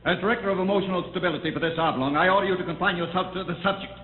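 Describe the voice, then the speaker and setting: An actor playing a cold, pompous government official of a dystopian future in clipped, commanding 1950s radio drama style. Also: male voice, sits high, steady tone, rapid-fire, husky. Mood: angry, commanding. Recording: muffled old radio broadcast.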